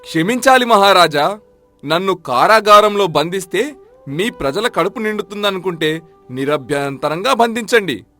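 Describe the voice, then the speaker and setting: A man's voice: sits high, lively intonation, normal speed, sharp tone, soft background music. A man playing an arrogant, scheming grain merchant in a folk-tale drama, haughty and mock-polite as he makes threats. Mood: defiant.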